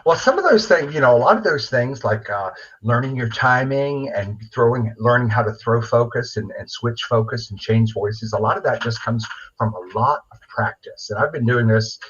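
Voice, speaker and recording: Middle-aged male guest, husky, mid-pitched, chatty and enthusiastic, on muffled call audio. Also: lively intonation, moderate pace, a bit bright. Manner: enthusiastic, rambling. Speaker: puppeteer, interview guest. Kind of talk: casual chat. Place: remote video call. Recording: dull, lo-fi video call audio.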